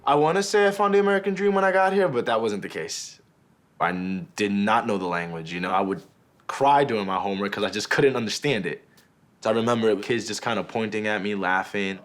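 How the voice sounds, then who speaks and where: A man's voice: fairly low pitch, fairly quick. A man in his twenties from the Dominican Republic and New York, telling his own story casually and informally, with plenty of filler phrases. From born in the Dominican Republic, in New York from age eight. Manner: informal and slangy, with frequent "like" and "you know". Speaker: reality show participant, school safety officer and club DJ. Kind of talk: casual personal storytelling to camera. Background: background music.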